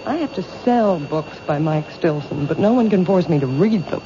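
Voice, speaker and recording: A woman playing a troubled but quietly stubborn bookstore owner in a 1970s radio drama. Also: lowish voice, animated, normal speed, natural voice. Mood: puzzled, firm. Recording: noisy, dull 1970s radio recording.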